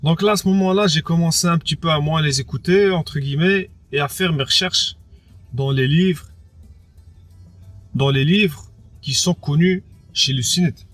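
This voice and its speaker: Hesitant French-Moroccan man, slightly high voice. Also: normal speed, a bit bright, no room sound, faint music behind. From France, of Moroccan background. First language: Arabic speaker as well. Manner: hesitant, repeats words, many fillers like "entre guillemets" and "quoi". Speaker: interviewee. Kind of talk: personal testimony, casual spoken.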